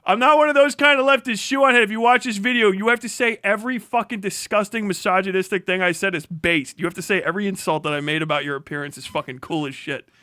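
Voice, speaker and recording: High-pitched man in a combative, profane rant. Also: fast talker, few pauses, bright, forceful tone, dry recording, clean studio sound. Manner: mocking, combative, sarcastic; heavy swearing. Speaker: live streamer reacting to a video. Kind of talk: profane political rant commentary.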